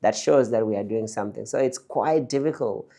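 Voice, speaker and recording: A man's voice: fairly low pitch, fairly quick, gravelly, mellow. A middle-aged man, a peace facilitator, recounting events in a podcast discussion. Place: indoor room around a wooden table.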